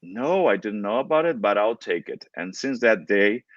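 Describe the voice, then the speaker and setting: A middle-aged man from Brazil whose first language is Portuguese, speaking in a fairly low voice. He talks haltingly, full of fillers and restarts. The sound is muffled and dry, with no room around it. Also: expressive, no echo. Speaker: guest opera singer. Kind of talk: relaxed interview talk.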